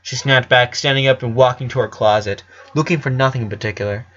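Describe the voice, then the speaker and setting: A man's voice: mid-range voice, quick pace, a bit bright. A man narrating a dramatic written story, occasionally stumbling over his words. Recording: slightly dull lo-fi recording.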